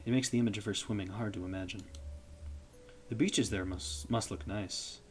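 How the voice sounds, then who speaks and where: Young male streamer, low voice, steady story read with small slips. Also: normal speed. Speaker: streamer reading a visual novel. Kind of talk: reading a visual novel aloud.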